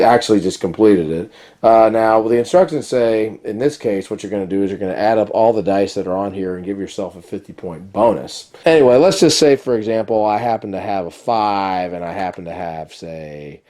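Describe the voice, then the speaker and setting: A man reviewing a board game and explaining its rules in a casual, chatty way, his voice a little raspy from a cold. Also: low voice. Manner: relaxed, chatty explaining with many fillers. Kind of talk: casual how-to-play review.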